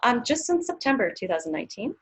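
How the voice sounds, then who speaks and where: A woman's voice: a little high, sing-song, fast talker, husky, faint music behind. A Canadian woman psychologist in her thirties, speaking as an interview guest in a thoughtful way, with many fillers and restarts.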